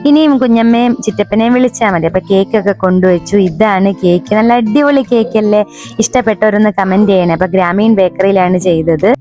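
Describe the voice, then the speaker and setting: A woman's voice: mid-range voice, a little fast, warm tone. Malayali lady from kerala chatting casually over vlog footage, bit of music under her. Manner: chatty, conversational. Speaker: family vlogger.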